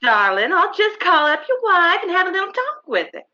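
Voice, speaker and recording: Casting: syrupy, coquettish old flame for radio comedy, very high-pitched, swooping, pouty. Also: female voice, wildly swooping pitch, a little fast, pushed and bright, muffled recording, heavily acted. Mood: coaxing, syrupy. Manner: cooing, pouting. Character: gushing, clingy, coquettish old-flame girlfriend.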